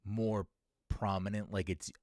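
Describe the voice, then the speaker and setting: Low-voiced man rambling on tangents. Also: fairly even intonation, measured pace, a little mellow, no echo, studio quality. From Massachusetts, USA. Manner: rambling, tangents, careful enunciation. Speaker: podcast co-host. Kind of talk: casual chat.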